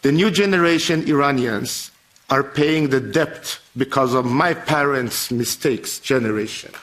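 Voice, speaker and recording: A man's voice: mid-range voice, measured pace, a bit bright, a little hiss or hum. A man from Iran, a member of parliament, giving an earnest, emphatic address at a formal event, with phrasing.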